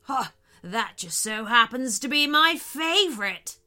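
A woman's voice: a little high, lively intonation, unhurried, pushed and bright, faint music behind, exaggerated voice. Woman acting a theatrical, growly big male shark. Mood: menacing. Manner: theatrical, exclaiming.

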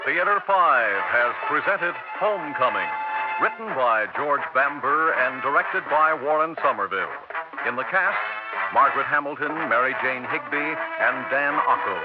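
A male announcer reading closing credits in a formal, measured way. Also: medium pitch, animated. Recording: dull vintage radio with music behind. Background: loud music.

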